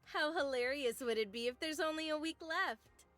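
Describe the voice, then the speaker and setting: A flippant, world-weary young female soldier in a video game. Her voice is high and her delivery wry and nonchalant, with music underneath. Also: moderate pace, a bit bright. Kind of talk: game cutscene voice acting.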